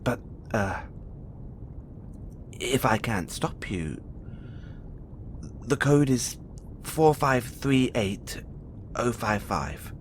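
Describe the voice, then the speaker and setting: Casting: male actor as playful, flirtatious imprisoned experiment with imperial swagger, for audio roleplay. Swooping pitch, unhurried halting delivery, rebellious streak. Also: expressive, slight background noise. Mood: reluctant, weary. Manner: teasing, flirtatious, halting, with sighs and chuckles. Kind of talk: audio roleplay acting addressed to the listener.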